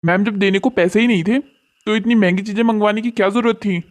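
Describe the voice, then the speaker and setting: A man's voice: high voice, fairly even intonation, fast talker, close to an everyday voice. A man voicing a scornful young parcel delivery worker, blunt and lecturing, in a Hindi cartoon family drama.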